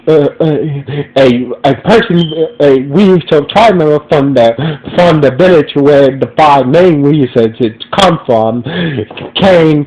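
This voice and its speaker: A man's voice: a little high, a little mellow, slight background noise, poor audio. A man hosting an audio review podcast, talking with a stuffy nose and rambling through many restarts and fillers. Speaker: host. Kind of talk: casual episode review podcast. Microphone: audio-only podcast recording.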